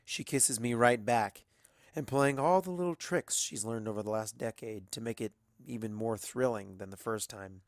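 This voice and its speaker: Expressive story narrator, very dry recording. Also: medium pitch, normal speed, no room sound. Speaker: amateur audiobook narrator.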